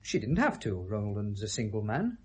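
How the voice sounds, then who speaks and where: A man's voice: lowish voice, very animated, moderate pace, slightly rough. An actor playing a troubled, earnest and guilt-ridden educated British man in a 1970s radio drama. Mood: guarded, insistent.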